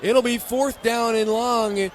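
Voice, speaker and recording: A man's voice: very high voice, steady tone, takes it slowly, a faint crowd, a little hiss or hum. An American man doing live radio play-by-play of a football game in clipped, present-tense phrases.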